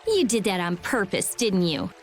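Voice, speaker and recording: Woman doing snarky teen girl mage from a game, high lively voice, know-it-all, music behind. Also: moderate pace, lightly acted. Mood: irritated. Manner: blunt, sarcastic, know-it-all. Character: brash, prickly teenage girl mage and researcher. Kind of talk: English video game voice acting.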